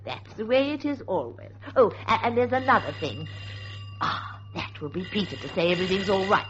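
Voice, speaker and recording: A woman's voice: mid-range voice, animated, normal speed, natural voice. An actress playing a fussy, pedantic older woman in an old-time radio drama, prim, scolding and fluttery. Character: Martha, fussy, pedantic, fretful older woman, stickler for grammar. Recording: muffled old radio recording, faint noise.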